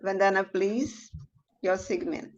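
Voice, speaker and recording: A woman hosting an online poetry show in a formal, courteous and somewhat halting way. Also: medium pitch, steady tone. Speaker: show host. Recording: dull lo-fi video call.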